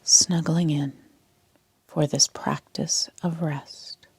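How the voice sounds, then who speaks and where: Woman, low-pitched, very slow, calm, soft half-whispered guided sleep meditation. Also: breathy edge, dry recording. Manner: calm, soothing, unhurried. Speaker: yoga nidra instructor.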